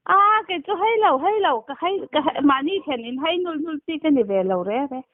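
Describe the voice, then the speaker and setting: A woman's voice: high voice, lively intonation, racing, no room sound. A woman chatting casually on a phone call, heard over a tinny, narrow telephone line. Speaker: phone caller.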